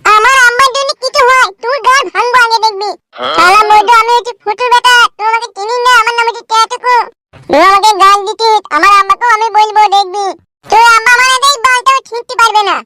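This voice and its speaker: Very high-pitched brash little boy. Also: fairly even intonation, quick pace, heavy background noise. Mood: angry, threatening. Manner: brash, scolding, swearing. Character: angry foul-mouthed little boy, brash and threatening. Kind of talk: Bengali cartoon comedy dialogue. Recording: loud music under the voice. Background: loud music.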